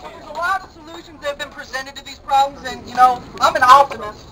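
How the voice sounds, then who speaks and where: A man's voice: extremely high pitch, fast talker, bright, forceful tone. A young man giving a rousing rally speech through a megaphone at an outdoor protest, calling for the crowd's response. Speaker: rally speaker. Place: outdoor city plaza protest. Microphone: handheld megaphone, caught by a camera some way off. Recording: distant megaphone amid a noisy crowd.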